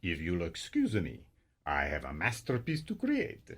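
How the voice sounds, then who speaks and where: Someone acting out an anxious male master painter in a radio comedy. The pitch lurches up and down expressively. He sounds plaintive, hesitant and theatrical. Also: wildly swooping pitch, a little slow, heavy background noise, full character voice. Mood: sheepish. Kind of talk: comic radio play acting.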